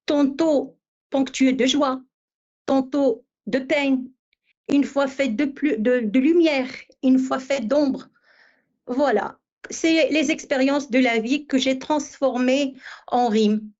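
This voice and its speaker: A woman in her fifties from Morocco, a poet answering interview questions hesitantly, with many pauses and fillers. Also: high-pitched, a little mellow, lo-fi sound. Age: 50s. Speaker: poet guest.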